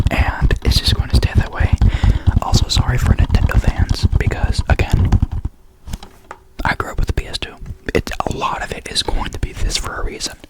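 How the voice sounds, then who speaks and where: A young adult ASMR creator with a lisp who stutters now and then, rambling casually in a soft unvoiced whisper close to the mic. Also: low-quality recording.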